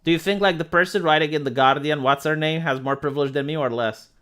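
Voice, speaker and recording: Animated sarcastic streamer man. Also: on the high side, quick pace, smooth. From Moldova. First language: Romanian. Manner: animated and sarcastic, repeats words when starting. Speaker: live streamer. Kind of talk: casual reaction commentary.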